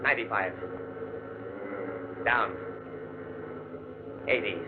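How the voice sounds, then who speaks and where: A tense aircrew member in an old radio play. His low voice snaps out short, clipped callouts. The sound is dull, with clear engine-like noise behind. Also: extremely slow. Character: airline pilot or copilot, clipped and tense. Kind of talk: 1940s radio drama acting.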